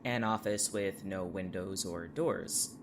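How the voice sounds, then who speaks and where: A man's voice: low-pitched, slow pace, background noise. An actor playing a wary, irritated man, blunt and skeptical, in an audio drama. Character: wary, irritated man held by a strange therapist.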